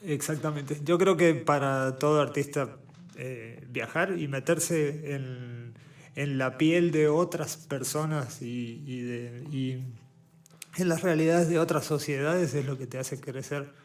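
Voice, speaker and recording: A man from Uruguay with a Rioplatense Buenos Aires accent, a visual artist talking as a guest in an interview, thoughtful and halting, often restarting his phrases. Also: fairly high pitch. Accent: Rioplatense Spanish, Buenos Aires. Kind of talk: relaxed interview conversation.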